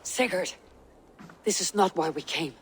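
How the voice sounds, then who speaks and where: An actor playing a stern, loyal Viking warrior with a firm, terse and formal way of speaking in a video game drama. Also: male voice, high voice, a little slow, noisy background.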